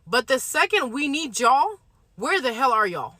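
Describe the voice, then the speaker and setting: Woman from Somalia, impassioned monologue, forceful, bright tone, dry close mic. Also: high voice, very animated, unhurried, no echo. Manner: forceful, repeats her points.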